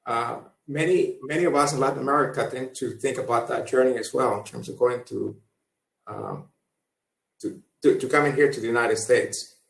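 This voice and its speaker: A man's voice: mid-range voice, moderate pace, gravelly. A man moderating a panel, introducing guests with many fillers and restarts. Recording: echoey room, far from mic.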